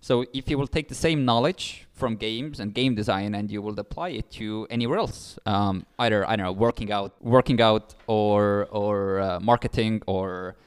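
A man's voice: lowish voice, moderate pace, few pauses, smooth. Man, a chatty startup founder. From Estonia. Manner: explains ideas eagerly, many fillers like "like" and "uh", frequent restarts. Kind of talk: interview guest.

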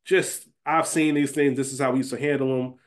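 A man's voice: mid-range voice, fairly even intonation, moderate pace. A man co-hosting a podcast, chatting casually and slangily and repeating phrases for emphasis. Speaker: podcast co-host. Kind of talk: casual fantasy-draft banter.

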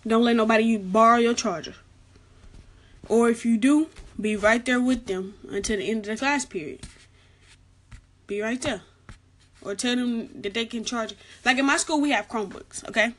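Soft-spoken young woman, girlish voice. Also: fairly high pitch, normal speed, pauses often. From Arkansas, United States. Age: late teens. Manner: chatty, repeats her warnings. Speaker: vlogger giving school advice. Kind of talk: casual advice vlog.

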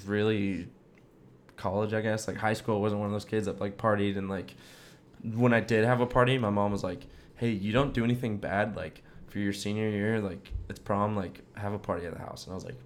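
A young American man with a fairly low voice talks like a podcast co-host among friends. His delivery is casual and slangy, with "like", "dude" and "bro" scattered through loose personal storytelling. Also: little pitch movement, brisk, slight background noise. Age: young adult.

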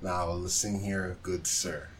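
Male podcast co-host, lower-pitched, playful banter, casual anime talk. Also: fairly even intonation, slow, a little hiss or hum. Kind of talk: casual chat about anime.

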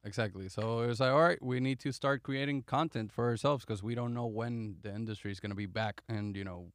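A man's voice: mid-range voice, a little fast, dry recording, studio quality. A man chatting casually in a group, rambling with lots of fillers as he explains. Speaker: video creator.

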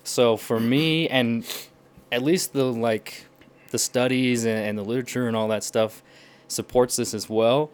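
A young man appearing as a podcast guest, explaining in an animated way and acting out little quotes as he goes. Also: medium pitch, a little slow, clear, resonant tone. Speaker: podcast guest, language learner. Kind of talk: casual podcast chat.

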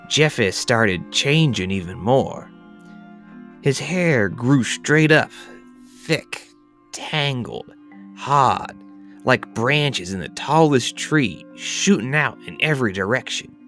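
A man playing a folksy, rustic yarn-spinner who tells a dark tale in a drawling, colloquial, theatrical way. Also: medium pitch, exaggerated voice. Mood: ominous, slow. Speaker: podcast host reading a tale. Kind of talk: theatrical dramatic storytelling.

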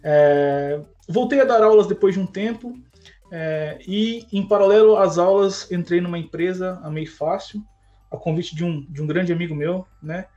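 A man talks in a relaxed, slangy way, telling his story as a podcast guest. Faint music sits behind him. The sound is dull and lo-fi. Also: high-pitched, normal speed. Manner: relaxed, slangy, fills with "né, cara". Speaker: podcast guest, tech lead. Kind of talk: casual chat.

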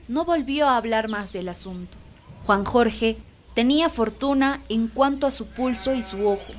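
A woman's voice: fairly high pitch, slight background noise. Woman narrating a radio story, faint ambience.

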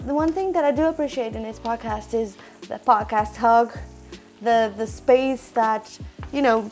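A young woman with a squeaky, fairly high voice talks through a recipe in her home kitchen. She rambles with many fillers and restarts while consciously slowing her speech. Her voice drifts away from the mic as she moves, with faint music underneath. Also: a little mellow. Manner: deliberately talking slowly. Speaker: cooking vlogger. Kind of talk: casual chat while cooking.

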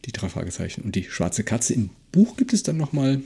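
A man's voice: mid-range voice, big pitch swings, brisk, creaky, mellow, slightly rough. A man co-hosting a podcast, giving his opinions conversationally and repeating short words of agreement. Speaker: podcast host. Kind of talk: casual discussion and critique of an audio drama.

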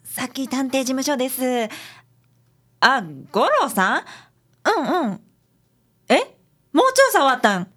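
Female assistant at a detective agency, acted: capable, brisk, bright high pitch with big swings, radio drama. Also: exaggerated voice. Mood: casual, brisk. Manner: expressive, brisk.

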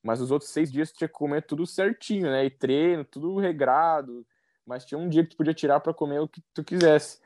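A young man from southern Brazil with a southern Brazilian accent, a professional athlete talking casually as a podcast guest in a relaxed, easygoing way. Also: a little high, dry recording, studio quality. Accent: southern Brazilian Portuguese. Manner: relaxed, easygoing, drawn-out fillers.

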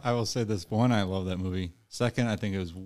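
A man's voice: on the low side, fairly even intonation, fast, darkish tone. A man in casual podcast chat who stumbles and restarts before making his point.

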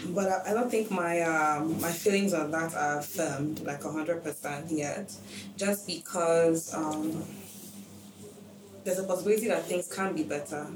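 A young woman from Nigeria, talking candidly and reflectively in a casual chat, often pausing on fillers. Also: on the low side, distant mic. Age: young adult. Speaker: podcast guest. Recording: echoey room with background noise.

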